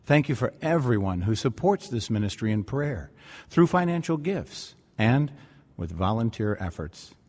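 Husky, animated male radio announcer. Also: mid-range voice, normal speed. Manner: earnest, prayerful. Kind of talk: scripted devotional appeal. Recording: long reverberant hall sound.

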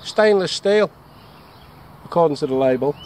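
Middle-aged English bushcraft vlogger, a touch high-pitched, banters with himself on an outdoor camera mic. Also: male voice, animated, normal speed, a little mellow. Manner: jokey, chatty, asks himself questions and answers. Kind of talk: casual outdoor demonstration. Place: woodland camp outdoors. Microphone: action camera on chest or tripod. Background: birdsong and faint outdoor noise.

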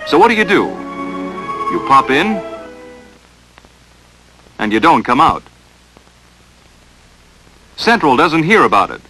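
A man's voice: mid-range voice, rough recording. An American man narrating a 1940s instructional film in a stern, emphatic, dramatic coaching manner, on a vintage film soundtrack.

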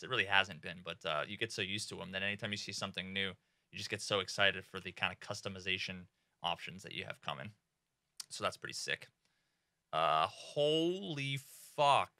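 A young man in casual gaming commentary from a small home room, excitable and swearing freely, with many fillers. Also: lowish voice, no echo, studio quality. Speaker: gaming streamer.

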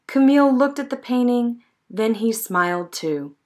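A woman narrating a children's picture book in an expressive, measured storytelling manner. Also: a little high. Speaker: storybook reader.

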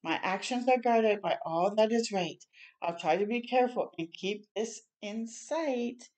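A woman's voice: mid-range voice, a little slow, a little mellow. Older woman reading a picture book aloud. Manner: encouraging, chatty asides to young listeners. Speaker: children's storytime reader. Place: small room at home, indoors.

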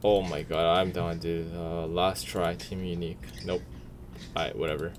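A man's voice: deep voice, little pitch movement, moderate pace, noisy background. A man giving casual, slangy reaction commentary as a streamer, blunt and full of swearing. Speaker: streamer and video creator.

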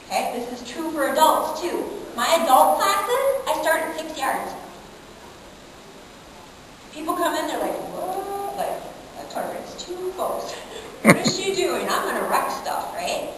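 An American woman speaking as an instructor in an informal seminar, with an animated, emphatic manner, often checking in with her listeners. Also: high voice. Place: conference meeting room, indoors. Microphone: far camera in the audience. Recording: echoey room from far off, background noise.

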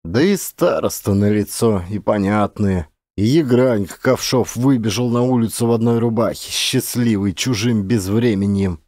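A man's voice: lowish voice, moderate pace, hardly any pauses, a little mellow, dry recording, clean studio sound. A man narrating a literary story in a dramatic, scripted style. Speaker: audiobook narrator, actor.

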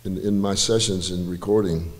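An older man, a guest musician, telling a relaxed, rambling story with self-deprecating asides at a church, his voice slightly hoarse, on a handheld mic. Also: deep voice, normal speed. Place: church stage, large indoor room. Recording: reverberant church hall with faint music.

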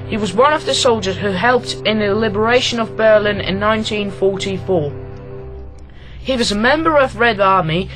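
A young man from Latvia reading aloud a school heritage project, careful and a little halting, with faint music underneath. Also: high-pitched, a bit bright, rough recording. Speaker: student presenter.

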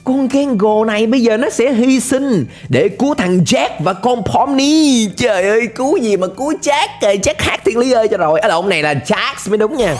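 A man's voice: extremely high pitch, animated, normal speed, hardly any pauses. A young man with a southern Vietnamese accent giving playful, exclamatory reaction commentary as a host, tossing in English interjections at a close microphone. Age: young adult. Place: small indoor streaming setup. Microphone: close desk microphone. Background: music.

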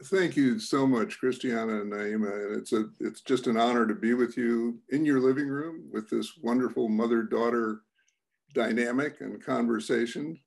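An older man hosting a panel over a video call from his home study, gentle and self-deprecating. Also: medium pitch, normal speed, vocal fry. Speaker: host. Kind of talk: moderating a panel.

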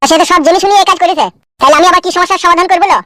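Man voicing a rapid-fire, foul-mouthed cartoon husband. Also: very high voice, steady tone, bright, forceful tone, dry recording, studio quality. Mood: exasperated, scolding. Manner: scolding, crude, rapid-fire. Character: foul-mouthed, exasperated husband ranting about his runaway mother-in-law. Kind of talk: amateur comedy cartoon dubbing.

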